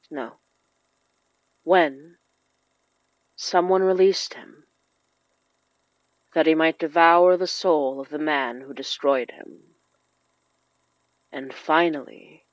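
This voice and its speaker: Female horror narrator, very slow and deliberate, dramatic pauses, dull and roomy sound. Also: deep voice. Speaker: narrator of horror fiction podcast. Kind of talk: scripted dramatic story narration.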